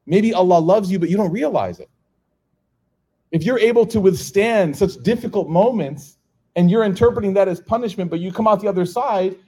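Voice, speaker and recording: A middle-aged man with an American accent gives an informal sermon to a live audience, telling stories, acting out the dialogue and laughing often. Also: a little high. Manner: animated storytelling, acts out dialogue, laughs often.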